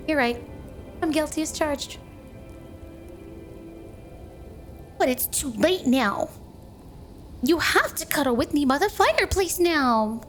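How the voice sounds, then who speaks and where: Woman acting a high-voiced flirty snow spirit wife. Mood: playful, coy. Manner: playful, coy, doting, teasing. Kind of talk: ASMR roleplay spoken to the listener.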